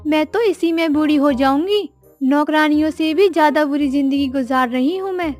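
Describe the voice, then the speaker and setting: A woman's voice: high-pitched, moderate pace, a little mellow, faint music behind, natural voice. A woman voicing a discontented, scheming female crow who is a wife, with a dramatic, complaining manner, in a children's cartoon story. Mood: weary, complaining. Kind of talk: children's animated story acting.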